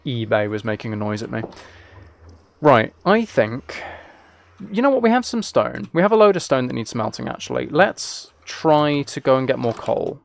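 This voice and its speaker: A man's voice: mid-range voice, big pitch swings, normal speed, vocal fry. A twenty-year-old man chatting casually as he streams a game, rambling along in a joking, teasing way. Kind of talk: casual chat while playing a game.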